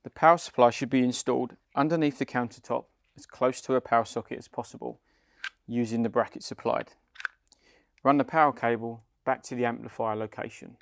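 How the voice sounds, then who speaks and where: Man, mid-pitched voice, scripted how-to narration, plain step-by-step explaining, dry recording. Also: fairly even intonation, a little fast, vocal fry, dry recording. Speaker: company head of client development, instructor. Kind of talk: scripted instructional product narration.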